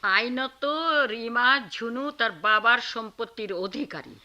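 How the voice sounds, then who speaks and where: Bright-voiced, reproachful, outspoken wife. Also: female voice, a little high, steady tone, measured pace. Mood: hurt, accusing. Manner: reproachful, emphatic, indignant. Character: compassionate, outspoken wife scolding her heartless husband. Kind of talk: radio drama acting.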